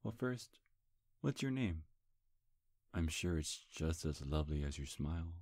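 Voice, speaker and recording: Very deep-voiced, flirtatious demon seducer played by a man. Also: expressive, a little slow, a little mellow, no echo, studio quality, heavily acted. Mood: flirtatious, coy. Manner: flirtatious, sly, hesitant stammers. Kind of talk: intimate ASMR roleplay. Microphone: close, dry microphone.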